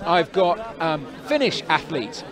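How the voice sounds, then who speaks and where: A man's voice: on the high side, very animated, slow pace. A man doing live sports commentary and interviews, chatty and rambling with many fillers and restarts. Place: outdoors at a climbing event. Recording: crowd noise behind.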